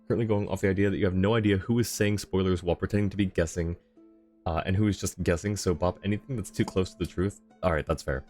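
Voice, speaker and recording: A man livestreaming a game with casual, thoughtful commentary as he chats with viewers, often pausing to find his words. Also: low-pitched, fairly even intonation, a little mellow, faint music behind. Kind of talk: casual live commentary, answering chat.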